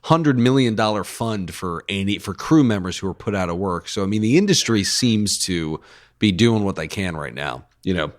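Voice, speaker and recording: A man's voice: on the low side, animated, moderate pace. A man hosting a podcast, an easygoing and agreeable interviewer in casual chat. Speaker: podcast host.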